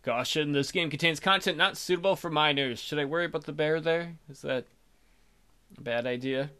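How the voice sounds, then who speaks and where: A man's voice: a little high, brisk, bright, forceful tone. A man hosting a let's play, reading game text aloud expressively and adding casual jokey commentary.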